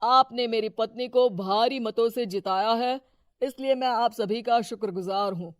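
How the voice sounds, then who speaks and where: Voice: medium pitch, normal speed, no echo, close to an everyday voice. Someone doing a bossy crooked politician husband, middle-aged guy, cartoon story, talks down to people, dry sound. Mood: formal, speechifying. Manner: bossy, dismissive. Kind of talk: Hindi cartoon moral story acting.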